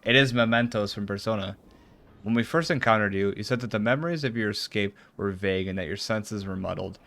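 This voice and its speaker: A man with a fairly low voice plays a game on stream. He reads formal story dialogue aloud, stumbling now and then, and comments in a casual way. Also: fairly quick, fairly bright tone.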